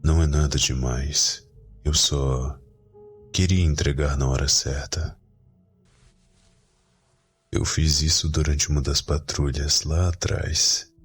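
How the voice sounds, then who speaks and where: A man's voice: very deep voice, flat delivery, a little slow, breathy edge, full character voice. A man playing a grumpy young vampire brother who is curt but secretly fond, in an ASMR roleplay drama. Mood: bashful, sincere. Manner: curt, grumbling, dismissive. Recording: music behind the voice.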